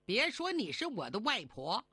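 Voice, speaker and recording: Storybook moon goddess, played by a woman storyteller: vain, coquettish, high-pitched, swooping delivery. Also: very animated, slow, sharp tone, no room sound, full character voice. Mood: furious. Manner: coquettish, exclamatory.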